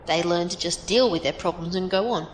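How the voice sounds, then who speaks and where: A woman's voice: fairly quick, close to an everyday voice. A woman playing a plainspoken, philosophical survivor in an audio drama, giving encouraging, matter-of-fact advice. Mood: frank, encouraging. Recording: roomy echo, faint background rumble.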